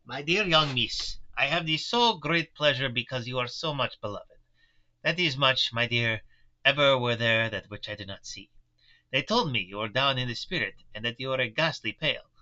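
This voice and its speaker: A man voicing a kindly, grave elderly professor in a put-on German-style accent, speaking in halting, broken formal phrases. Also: mid-range voice, lively intonation, pushed and bright, lo-fi sound.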